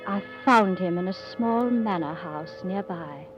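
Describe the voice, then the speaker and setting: A woman playing an earnest, devoted young gentlewoman, with formal, composed diction in 1940s radio drama style. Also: lowish voice, a little slow, natural voice. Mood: composed, reflective. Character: earnest, devoted young English gentlewoman narrating her life. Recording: muffled vintage radio recording, music behind.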